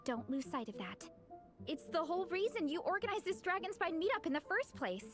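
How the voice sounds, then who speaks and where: High-voiced, peppy young athlete girl. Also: big pitch swings, fairly quick. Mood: encouraging. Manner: upbeat, earnest, enthusiastic. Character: peppy, encouraging young woman athlete who loves food and comics. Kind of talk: video game character acting. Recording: game music under the voice.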